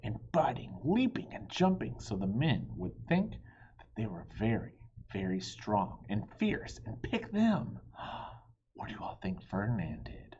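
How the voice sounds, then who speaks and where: A man reading a children's story aloud in an expressive, dramatic way, addressing young listeners, with a breathy voice that often drops toward a hush. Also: fairly low pitch, measured pace, slight background noise. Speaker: storybook reader. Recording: dull, muffled lo-fi audio.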